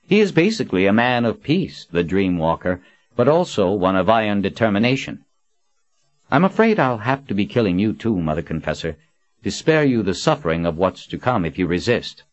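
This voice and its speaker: A man's voice: fairly low pitch, big pitch swings, normal speed, no echo, muffled recording, pitched above the performer's own voice. A man voicing a cocky young male assassin, boyish and mocking, with falsely polite, offhand talk. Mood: smug.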